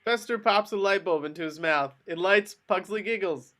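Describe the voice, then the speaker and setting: Guy reading out screenplay directions, bright voice, a bit on the high side. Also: normal speed, a little hiss or hum. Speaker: narrator reading stage directions. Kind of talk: scripted narration for a table read.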